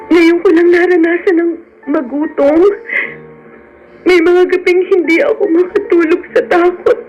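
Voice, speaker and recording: An actress playing a gentle, fearful, sheltered rich young woman in a Filipino radio drama. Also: high-pitched. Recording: dull lo-fi radio, music behind.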